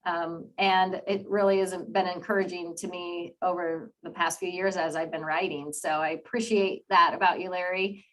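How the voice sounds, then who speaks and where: A woman's voice: fairly low pitch, level tone, moderate pace, few pauses, low-quality recording. Chatty, encouraging woman host. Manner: warm, chatty, encouraging, with frequent fillers. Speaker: interview host. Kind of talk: casual interview.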